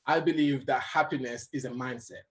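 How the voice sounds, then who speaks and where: A young adult man who grew up in Uganda, telling his life story as a guest in an interview, animated and laughing as he goes. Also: mid-range voice, a little fast. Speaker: guest motivational speaker.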